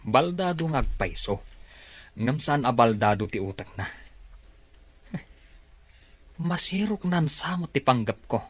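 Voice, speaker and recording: A man's voice: medium pitch, sing-song, slow, pauses often, breathy edge, heavily acted. An actor playing a greedy, scheming male cousin in a radio drama, wheedling and sneering with a Filipino accent. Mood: scornful, scheming.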